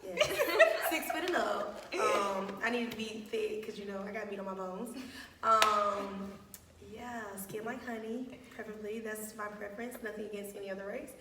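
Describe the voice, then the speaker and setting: A woman's voice: medium pitch, moderate pace, background noise. Sassy young woman, distant echoey room. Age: 20s. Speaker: panel guest.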